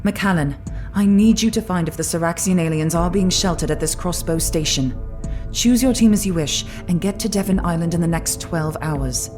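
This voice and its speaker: An actress playing a stern, regal female leader in an audio drama, speaking in a deliberate, formal, commanding way. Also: fairly low pitch, a little mellow, faint music behind, lightly acted. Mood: resolute.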